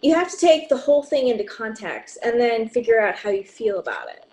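A woman acting in a radio drama as a calm, collegial academic doctor co-hosting a broadcast. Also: a little high, fairly quick, poor audio. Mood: measured. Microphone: close studio mic on boom arm.

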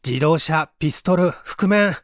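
A man voicing an eager, eccentric young mystery fanatic in polite, excitable audiobook dialogue. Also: on the high side, unhurried, no echo, studio quality, close to an everyday voice. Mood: excited. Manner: polite, excitable, animated speech.

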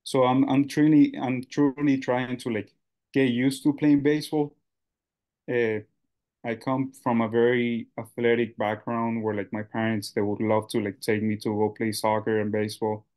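A man's voice: medium pitch, level tone, normal speed, a little mellow. A young man from Ecuador, a baseball coach, answering interview questions in a loose, rambling way with many fillers.

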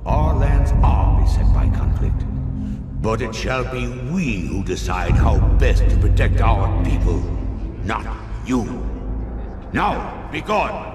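A man's voice: mid-range voice, sing-song, takes it slowly. An actor playing a proud, commanding old king, regal and declamatory, in a fantasy game cinematic. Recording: echoing throne hall, loud music.

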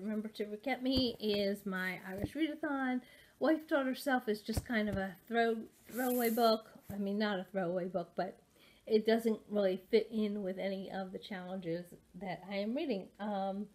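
A woman's voice: medium pitch, a little mellow. A woman in her forties from the United States, rambling casually about books in a home study with many fillers and restarts. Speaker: booktuber. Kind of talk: casual rambling book vlog. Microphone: webcam at desk.

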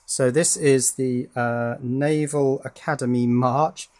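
A man's voice: medium pitch, fairly even intonation, slow pace, darkish tone. A man presenting an informal hands-on electronics demonstration in a matter-of-fact, explanatory way.

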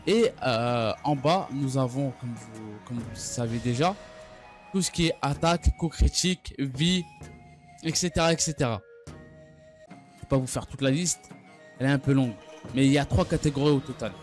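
A man giving an unscripted gaming news recap, speaking haltingly and often stumbling or repeating his phrases. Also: medium pitch. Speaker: gaming video creator. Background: background music.

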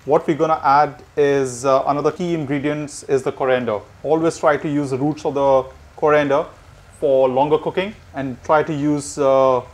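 A man's voice: a little high, fairly even intonation, moderate pace. A man in his thirties, a chef, giving a cooking demonstration in an indoor kitchen.

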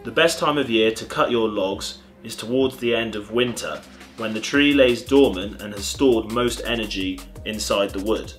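A man's voice: lowish voice, normal speed, fairly bright tone, faint music behind. A young British man presenting an upbeat step-by-step tutorial outdoors in a garden. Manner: upbeat, clear instructional delivery.

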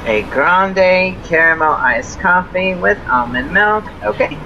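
A woman's voice: lowish voice, expressive, slow pace. A woman working at a drive-thru, taking an order over an intercom. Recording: muffled drive-thru speaker, vehicle noise.

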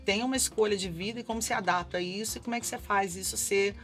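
Middle-aged Mineiro-accented woman, studio interview guest, energetic and chatty, mid-pitched, soft music bed. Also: quick pace. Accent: Mineiro Brazilian Portuguese. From Belo Horizonte, Brazil. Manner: energetic, talks with her hands, frequent "né?". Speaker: advertising executive, interview guest. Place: small indoor studio set.

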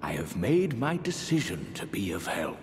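An older man playing a genteel, eerie aristocratic sorcerer priest in a fantasy game, speaking in theatrical archaic phrases with a breathy voice that drops toward whispers. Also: sing-song, normal speed, soft background music. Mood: grandly gracious. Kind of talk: dramatic fantasy game character dialogue. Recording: echoey hall reverb, faint rumbling noise.

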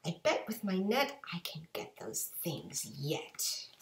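A woman's voice: on the low side, sing-song, slow, slight background noise, exaggerated voice. A woman voicing a determined, bossy young boy in a children's storybook, with emphatic delivery. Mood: firm. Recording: roomy home kitchen echo.

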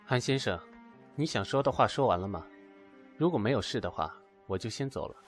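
A man's voice: mid-range voice, expressive, fairly quick, soft background music, natural voice. A man playing a guarded, cold young man in an amateur audio drama, speaking in reserved, hesitant, clipped replies.

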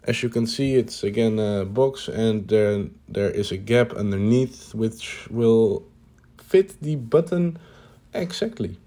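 Mellow-voiced man narrating his hobby build. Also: lowish voice, slow pace. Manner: hesitant, many "uh" fillers, plain upbeat remarks. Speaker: hobby builder showing his home cockpit project. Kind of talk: casual voice-over narration.

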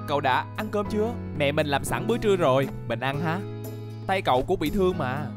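An earnest young boy hero from a cartoon dub acted by a man. The voice sits a little high and is expressive, chatty and quick to fluster. Music plays clearly behind it. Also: lightly acted. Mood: friendly. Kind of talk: Vietnamese cartoon dubbing.

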